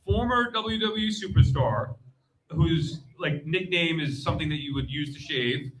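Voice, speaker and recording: High bright-voiced guy running a live trivia game on stage, blunt and jokey. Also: expressive, poor audio. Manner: blunt, joking, exclamatory, fast-reacting. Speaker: game show host at a fan convention panel. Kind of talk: lively live trivia hosting. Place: live stage before an audience at a convention.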